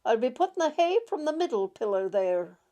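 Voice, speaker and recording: Woman acting a stern, stubborn old farm grandmother, raised pitch. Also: sing-song, brisk, warm tone. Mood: stern, ordering. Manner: abrupt, commanding.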